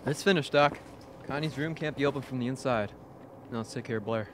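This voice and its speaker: A man playing a gruff, bitter expedition crewman in an amateur radio play. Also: medium pitch, brisk, a bit bright, a little hiss or hum, lightly acted. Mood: blunt.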